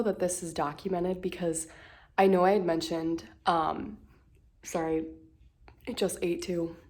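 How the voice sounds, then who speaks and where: A woman's voice: on the low side, a little mellow. Congested, hesitant young woman vlogging. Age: 20s. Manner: hesitant, lots of fillers and restarts, self-deprecating. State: congested, slightly out of breath. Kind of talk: rambling casual vlog. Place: at home indoors. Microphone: phone held at arm's length.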